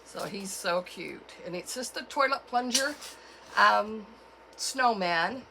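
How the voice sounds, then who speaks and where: Slow, hoarse, gravelly woman crafter. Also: mid-range voice, animated, pushed and bright, slight background noise, rough recording. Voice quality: froggy, gravelly. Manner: chatty, unhurried, with fillers and self-corrections. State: hoarse from a cold. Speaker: craft channel host. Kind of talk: casual craft tutorial.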